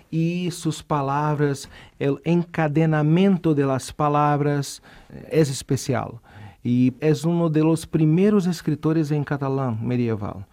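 A man's voice: medium pitch, warm tone. A man from Rio de Janeiro, Brazil, whose first language is Portuguese, speaking with a carioca accent as a professor guest in an interview, thoughtful and hesitant with fillers. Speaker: university art history professor.

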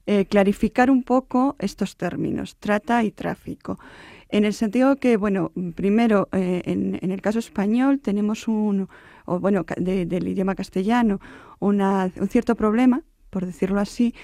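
A woman professor, explaining her research in a radio interview and often pausing to search for words. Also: mid-range voice, creaky, mellow, no echo, studio quality. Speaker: anthropology professor, interview guest.

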